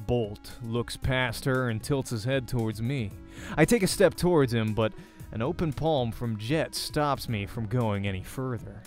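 A man's voice: mid-range voice, measured pace, hardly any pauses. A man hosting a let's play, informally reading visual novel narration aloud. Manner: informal, breaks off to comment. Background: background music.

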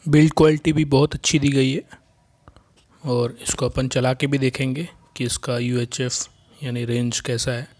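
A man's voice: medium pitch, little pitch movement, normal speed, a little mellow. A man giving a casual, plain-spoken product review and explaining as he goes.

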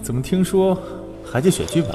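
A man's voice: fairly high pitch, lively intonation, a little fast, lightly acted. A man playing a breezy young man who teases an old acquaintance with light laughs. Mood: teasing. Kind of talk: audio drama acting. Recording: reverberant with music behind.

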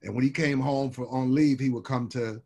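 An older man from Birmingham, Alabama, speaking as a guest on a video call. He tells his stories in an easygoing, rambling way, full of "you know." His voice sits in a middle pitch for a man. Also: fairly even intonation, normal speed, darkish tone, husky. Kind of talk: casual interview storytelling. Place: home room with wood shutters and framed pictures.

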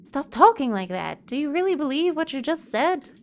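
A woman's voice: high voice, very animated, normal speed, a little hiss or hum, voice pushed up. A woman reading an earnest, plain-spoken young male hero from a video game. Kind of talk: video game character read-aloud.

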